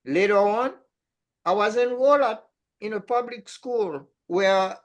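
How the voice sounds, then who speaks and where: Older man giving a measured talk. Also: sits high. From Haiti. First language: Haitian Creole. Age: 60s. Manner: measured, careful, with restarts on words. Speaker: webinar speaker. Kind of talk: prepared talk read aloud.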